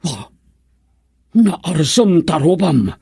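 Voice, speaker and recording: An actor playing an eccentric, babbling man in a radio comedy, with halting, garbled speech and odd catchphrases. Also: fairly high pitch, sing-song, gravelly, darkish tone, slightly rough, no room sound, clean studio sound, exaggerated voice. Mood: excited, babbling. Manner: halting, garbled words, frequent chuckling. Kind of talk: radio comedy drama acting.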